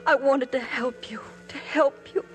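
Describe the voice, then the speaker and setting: A woman's voice: sits high, fairly even intonation, a little slow, over music. A desperate, guilt-ridden young woman. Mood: anguished. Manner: pleading, anguished. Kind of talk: 1950s radio drama acting.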